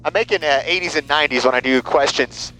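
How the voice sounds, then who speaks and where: A man's voice: fairly high pitch, quick pace, bright, forceful tone, poor audio. A man chatting casually on a headset as a passenger in a small airplane. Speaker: passenger, student instrument pilot. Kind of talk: casual chat. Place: small airplane cabin in flight. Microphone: aviation headset. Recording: engine drone and music behind.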